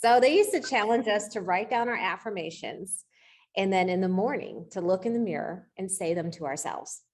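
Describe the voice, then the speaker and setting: A middle-aged woman leads a coaching session in a conversational voice of ordinary pitch. She is encouraging and explains things informally, often stopping to check in with a quick rhetorical question. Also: animated. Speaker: real estate coach leading a coaching session.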